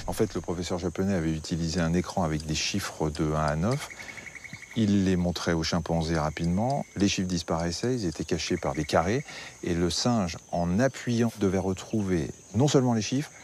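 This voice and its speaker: A man calmly explaining a scientific experiment in measured steps. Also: sits low, a little hiss or hum.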